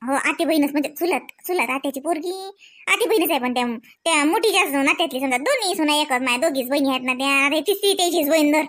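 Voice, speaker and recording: A woman's voice: sits high, fast, fairly bright tone. A woman voicing chatty village aunties in a comedy cartoon, speaking Varhadi Marathi from Vidarbha in a gossipy, exclamatory, colloquial way. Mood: curious, gossipy.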